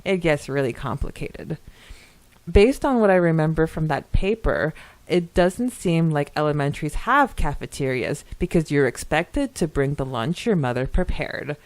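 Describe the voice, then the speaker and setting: A woman's voice: low voice, expressive, normal speed, dry recording. A woman co-hosting a podcast, giggly and chatty as she talks casually and reads listener comments aloud. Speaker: podcast co-host.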